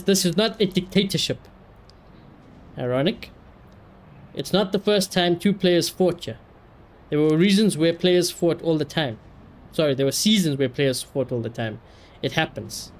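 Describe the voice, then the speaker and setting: A man giving casual livestream commentary in an animated, slangy, sweary way. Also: on the high side. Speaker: live streamer.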